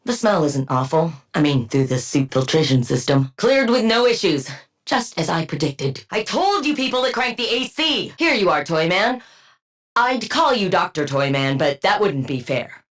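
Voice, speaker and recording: A woman's voice: on the low side, big pitch swings, moderate pace. A woman playing a haughty, sardonic supervillain scientist in a video game, with a clipped, pedantic, condescending delivery. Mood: smug, dry. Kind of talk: scripted game character voice lines.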